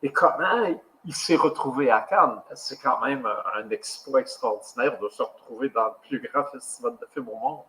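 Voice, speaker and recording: A man's voice: medium pitch, moderate pace, few pauses, a bit bright, poor audio. Hesitant male host. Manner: hesitant, many fillers. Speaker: podcast co-host. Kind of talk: casual podcast conversation.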